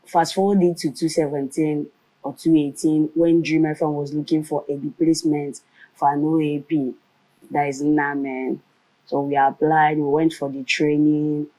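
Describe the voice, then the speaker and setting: A woman's voice: low voice, warm tone, poor audio. A woman in her thirties with a Nigerian Igbo accent, telling her story in a conversational interview. Her first language is Igbo, and she mixes Pidgin and Igbo into her English. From Nigeria, Nkanu land. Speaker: comedian and radio presenter, interview guest.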